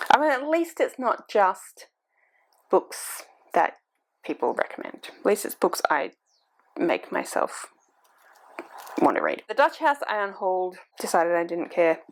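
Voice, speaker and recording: A woman in her forties chatting casually to camera as a book vlogger, thinking aloud and poking fun at herself. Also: medium pitch. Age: 40s.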